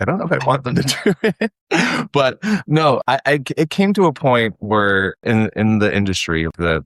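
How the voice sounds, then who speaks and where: Male podcast guest, deep register, informal and heartfelt, very dry recording. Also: a bit bright, no room sound. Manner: earnest, informal. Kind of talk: casual, reflective conversation.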